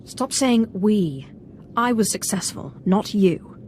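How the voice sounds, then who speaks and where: An actress playing a cold, ruthless Sith apprentice in a video game, haughty and curt. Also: female voice, medium pitch, animated, slow, a little mellow, a little hiss or hum.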